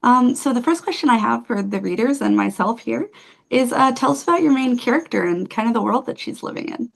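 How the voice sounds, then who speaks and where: A woman's voice: on the high side, lively intonation, fairly quick, creaky, low-quality recording. A woman hosting an interview show, upbeat and enthusiastic, asking questions casually with fillers. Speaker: show host.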